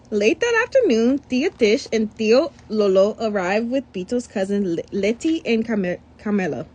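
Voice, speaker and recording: A woman's voice: on the high side, slow, long pauses, slightly rough. A young woman reading a children's picture book aloud, breaking off for chatty asides. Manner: reads with lively asides and commentary. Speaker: storybook reader.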